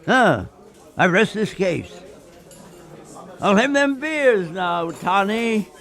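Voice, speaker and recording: A man's voice: high-pitched, very animated, slow pace, fairly bright tone, exaggerated voice. A man playing an old, superstitious local in a radio play, folksy and insistent as he spins his tale. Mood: knowing, grave. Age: older.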